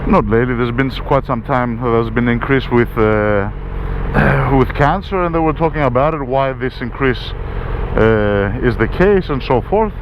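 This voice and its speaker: A man's voice: mid-range voice, normal speed. Swearing Greek-Australian man on a motorbike. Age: 40s. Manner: rambling, swears at other drivers. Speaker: motovlogger. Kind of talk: casual chat while riding. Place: on a motorbike in city traffic, outdoors. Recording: muffled with loud road noise.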